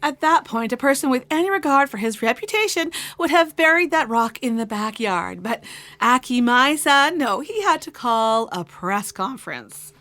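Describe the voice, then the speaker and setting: A woman's voice: a little high, lively intonation, moderate pace, bright, forceful tone. A woman playing a doting, meddling middle-aged mother in a comic audio drama, chatty and exasperated, always nagging.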